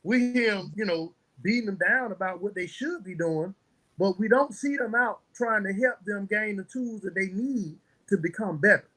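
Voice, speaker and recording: A man's voice: high voice, moderate pace, a bit bright, poor audio. A man speaking as a guest in a podcast interview. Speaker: podcast guest, founder of a youth mentoring organization. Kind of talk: interview conversation.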